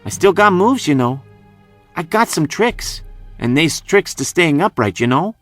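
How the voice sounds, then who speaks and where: A man's voice: on the high side, animated, a little slow, fairly bright tone, no echo, soft background music, voice pushed up. A man doing a pleading folksy old-timer. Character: pleading aging man, rough folksy speech.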